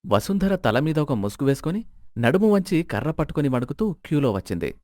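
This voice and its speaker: Higher-pitched man narrating, dry studio sound. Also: big pitch swings, fairly quick, darkish tone, no echo. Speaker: story narrator. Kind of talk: scripted moral-story narration.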